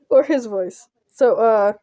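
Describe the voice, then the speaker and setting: A woman's voice: fairly high pitch, warm tone, dry recording. A woman reading a visual novel aloud as a casual playthrough, stumbling over and repeating words as she goes. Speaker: game player narrator.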